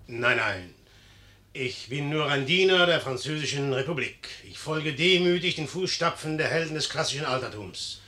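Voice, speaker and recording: An actor in a 1950s German radio play playing a young Napoleon-like general, bold, mocking and cynical, declaiming theatrically. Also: male voice, a little high, expressive, normal speed. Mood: wry, guarded. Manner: theatrical, declamatory, swinging from hushed to emphatic.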